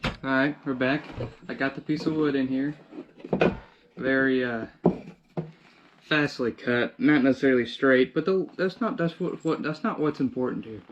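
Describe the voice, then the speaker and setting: Male DIY vlogger, mid-pitched, informal how-to talk, thinking aloud mid-task, background noise. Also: muffled recording. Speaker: DIY video host. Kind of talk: casual how-to narration while working. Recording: clear background noise with handling clicks.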